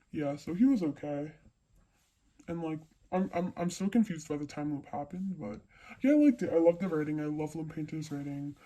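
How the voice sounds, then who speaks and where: A mellow, soft-edged voice run through a voice-changing effect, in a mid register. The speaker is a book vlogger giving casual reviews, rambling along informally with plenty of slang. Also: medium pitch, rough recording. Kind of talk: casual monthly reading wrap-up chat.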